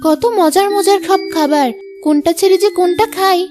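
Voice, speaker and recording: A woman's voice: very high-pitched, normal speed. A woman voicing a blunt, gluttonous, demanding grown daughter in a cartoon. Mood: greedy delight. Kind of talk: Bengali cartoon dub. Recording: music behind the voice.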